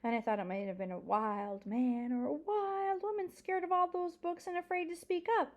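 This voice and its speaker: A woman's voice: high voice, steady tone, normal speed, lo-fi sound, voice pushed up, natural voice. A woman voicing an elderly lady librarian in a storybook, proud and dramatic, drawing out key words with folksy emphasis. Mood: suspenseful. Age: older.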